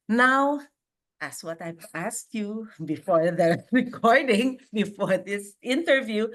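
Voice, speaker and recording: A woman hosting a podcast interview over a video call, talking warmly and effusively. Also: medium pitch. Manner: warm, slow, effusive with frequent laughs. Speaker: podcast host. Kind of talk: casual interview chat. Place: home in Virginia, on a video call.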